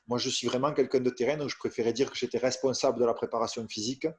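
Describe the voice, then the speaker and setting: A man's voice: medium pitch, steady tone, very fast. A French man in his thirties, a strength coach, telling his career story as a podcast guest, with frequent hesitations, fillers and restarts. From France. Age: 30s. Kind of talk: casual interview storytelling.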